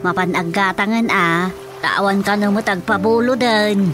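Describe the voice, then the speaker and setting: A woman's voice: medium pitch, fairly even intonation, moderate pace, close to an everyday voice. A woman acting a fretful, complaining village woman with an Ilocano accent in a radio drama comedy. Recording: music bed behind the voice.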